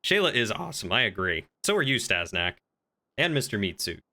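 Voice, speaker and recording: A man's voice: fairly low pitch, big pitch swings, brisk, pushed and bright. A man livestreaming a game, chatting casually with his viewers. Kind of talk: casual chat with stream viewers.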